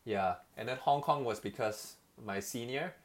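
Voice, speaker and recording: A man's voice: mid-range voice, measured pace. A young man from Malaysia talking casually about his choices, rambling with many fillers and restarts. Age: young adult. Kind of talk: casual conversation.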